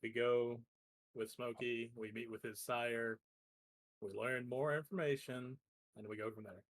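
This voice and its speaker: A man's voice: mid-range voice, steady tone, a little slow, poor audio. A man chatting in character in a tabletop roleplaying game, hesitant and apologetic in manner. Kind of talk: casual roleplay chat.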